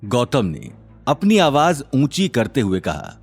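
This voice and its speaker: A man's voice: medium pitch, huge pitch swings, slow pace, husky, faint music behind. A man narrating a scripted drama serial in a dramatic storytelling style.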